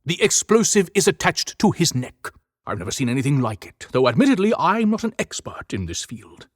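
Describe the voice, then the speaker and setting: A man's voice: fairly high pitch, big pitch swings, brisk, no echo, clean studio sound, voice pushed up. A man voicing Santa Claus as a firm, frank, businesslike older man in a dramatized audiobook. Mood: hedging.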